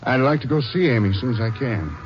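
An actor playing an outwardly devoted, anxious rancher husband, folksy and solicitous, in a 1950s radio western. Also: male voice, medium pitch, big pitch swings, quick pace, music in the background, close to an everyday voice. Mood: worried. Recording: muffled, noisy 1950s radio broadcast.

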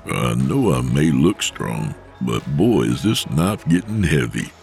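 Guy putting on a low gravelly growl, menacing knife thug, audiobook. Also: sing-song, a little slow, darkish tone, faint music behind, full character voice. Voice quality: deep growling rumble.

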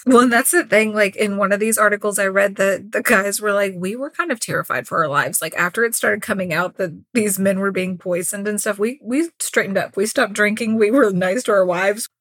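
A woman co-hosts a true crime podcast in a casual, chatty storytelling style. Her voice sits at a middling pitch and is recorded close and dry. She slips into quoted lines as she tells the story. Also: fairly quick, hardly any pauses, fairly bright tone, no room sound. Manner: informal, conversational storytelling with acted-out quotes. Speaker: podcast co-host.

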